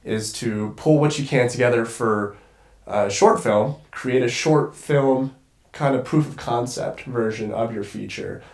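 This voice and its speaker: A man's voice: mid-range voice, measured pace, a little mellow. Congested young man in a roomy space. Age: young adult. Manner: rambling, swears casually, restarts often. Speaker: guest cinematographer. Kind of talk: casual podcast conversation.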